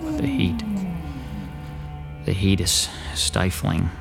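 An actor playing a weary artist in a radio drama, speaking a slow inner monologue. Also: male voice, on the low side, wildly swooping pitch, vocal fry, heavily acted. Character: artist haunted by a premonition, man, sweltering. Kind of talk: 1990s radio drama acting. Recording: radio play with music underneath.

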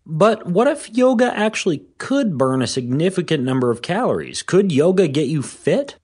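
A man hosting a fitness podcast, a little hoarse, explaining things in an informal scripted way. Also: fairly high pitch, sing-song, normal speed, a little mellow. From Washington State.

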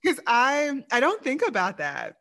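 A woman's voice: sits high, huge pitch swings, a bit bright. A woman hosting a casual interview, bubbly and slangy, drawing her words out. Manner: bubbly, slow and drawn out, slangy. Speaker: interview host.

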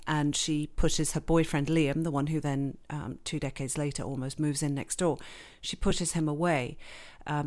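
A woman from England, raised in Switzerland, with an English accent, chatting warmly and laughing often as a novelist being interviewed. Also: sits low. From born in England, grew up in Switzerland. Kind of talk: casual interview chat.

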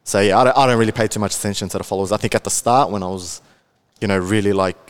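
A man's voice: on the low side, very fast, clear, resonant tone. Laid-back young Australian man. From Melbourne, Australia. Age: 20s. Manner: chatty, laid-back, many fillers, laughs often. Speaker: guest, content creator. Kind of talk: casual podcast interview.

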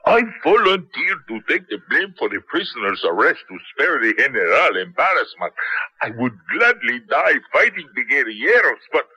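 Animated, husky army captain. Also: male voice, medium pitch, normal speed, dry recording, full character voice. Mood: frightened pleading. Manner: earnest, emotional. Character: loyal, fearful Latin American army captain, pleading. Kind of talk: 1970s radio drama acting. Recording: dull vintage radio recording.

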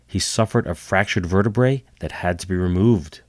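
Man, very low voice, slightly nasal from a cold, podcast host, dry close sound. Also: moderate pace, darkish tone, no room sound. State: getting over a cold. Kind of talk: storytelling history podcast. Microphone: home computer setup.

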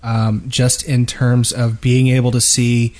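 A man's voice: mid-range voice, monotone, slow, a little mellow. A man co-hosting a gaming podcast, talking casually in a wry, playful way. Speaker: podcast co-host. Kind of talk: casual joking chat.

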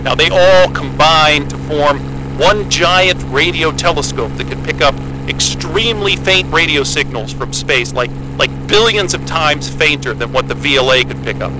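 A man acts out an enthusiastic American astrophysicist in a naturalistic audio drama. His voice sits a bit high for a man, and he explains things earnestly and wordily. A loud engine hum, as inside a helicopter cabin, runs behind him. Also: moderate pace, lightly acted. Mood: eager, explaining. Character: eager, geeky male astrophysicist starting a solo Antarctic posting.

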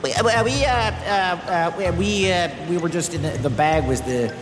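Flustered Greek sailor, comic audio story, acted by a male narrator: high, stammering, guilty, reverberant over music. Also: lightly acted. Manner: stuttering, flustered, halting. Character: nervous, guilty Greek sailors making excuses. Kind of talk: comedic children's audio storytelling. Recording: long reverb with prominent music behind.

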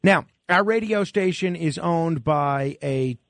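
A man's voice: a little high, takes it slowly, a bit bright, no echo. A man hosting a radio talk show in a studio, interviewing a guest with long, winding questions full of restarts.

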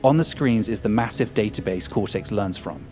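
A man's voice: fairly low pitch, normal speed, dark tone, close to an everyday voice. Man acting smug, scheming hacker over music. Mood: confident, explaining. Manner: smug, cynical, sardonic. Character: arrogant, scheming male hacker hungry for power. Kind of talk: audio fiction acting.

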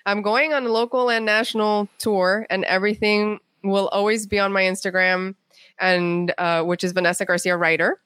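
A woman talking casually and informally as an interview guest, chatty and animated. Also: mid-range voice, normal speed, clear, resonant tone, no room sound. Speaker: guest, playwright and author.

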